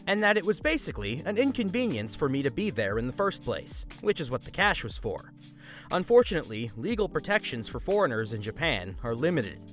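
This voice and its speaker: A man hosting a story channel, reading online stories aloud and adding his own comments in an animated way. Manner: animated, swings pitch for emphasis. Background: faint background music.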